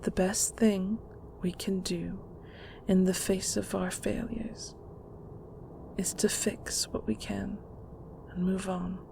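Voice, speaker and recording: Actress as controlling matriarch of a magic clan: gravelly, unhurried, condescending endearments, often breathy, noisy ambience behind. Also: female voice, mid-range voice, flat delivery. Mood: hushed, manipulative. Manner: slow, deliberate, condescending, with terms of endearment. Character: stern, manipulative mother of a secret magical family. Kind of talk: scripted audio roleplay drama.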